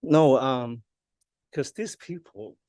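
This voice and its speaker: A man from Hong Kong delivers a heartfelt thank-you speech, tearful and hesitant. His voice sits at an average male pitch, in a dry recording. He is self-deprecating and often breaks off to gather himself. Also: animated, slow pace, a little mellow, dry recording. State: tearful, choked with emotion. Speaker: honored artist giving an acceptance speech. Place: ceremony stage.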